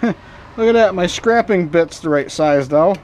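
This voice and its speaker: Slow-talking, high-pitched man over background noise. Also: lively intonation. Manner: talks to himself in muttered asides; folksy, informal grammar. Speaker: host of hobby repair and scrapping videos. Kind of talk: casual rambling workbench narration while working.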